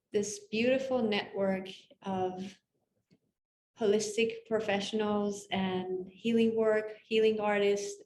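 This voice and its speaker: A woman hosts a video-call interview at an unhurried, gentle pace. Her voice sits in a roomy, echoey space with a faint hum of ambience behind it. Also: mid-range voice. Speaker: host.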